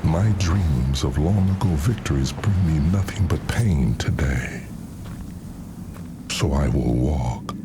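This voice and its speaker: A man playing a weary, haunted alien shipmaster, narrating a motion comic in a solemn, deliberate, brooding manner. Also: very deep voice, animated, noisy background, full character voice. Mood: weary sorrow. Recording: loud music behind the voice. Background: loud music.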